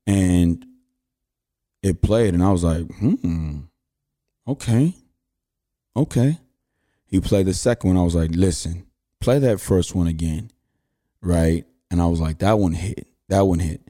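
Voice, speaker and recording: Man, thirties, podcast host, very low voice, dark mellow tone, loose rambling storytelling. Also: a little slow, pauses often. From Houston, Texas. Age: 30s. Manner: storytelling, loose with fillers and slang. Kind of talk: casual podcast talk.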